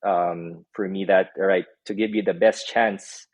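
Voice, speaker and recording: A man's voice: low-pitched, steady tone, measured pace, dry recording. A man from the Philippines, a startup co-founder telling his story as a guest in a casual interview, with many "um" fillers. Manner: many "um" fillers, laughs while telling stories.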